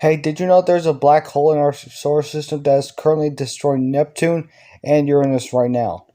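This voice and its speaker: A man's voice: fairly high pitch, steady tone, fairly quick, dark tone, natural voice. A man acting a casual young guy breaking doomsday news in an amateur audio drama. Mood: urgent, casual.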